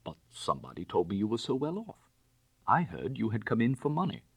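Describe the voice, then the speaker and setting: Male reader doing urbane young gentleman burglar, storybook, probing, suave, slightly husky, dry close recording. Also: fairly low pitch, wildly swooping pitch, brisk, no room sound, pitched above the performer's own voice, full character voice.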